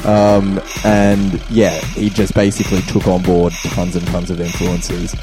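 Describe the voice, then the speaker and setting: Very deep-voiced male podcast host. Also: monotone. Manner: halting, filler-heavy, laughs easily. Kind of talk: casual radio chat. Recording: music playing loudly behind.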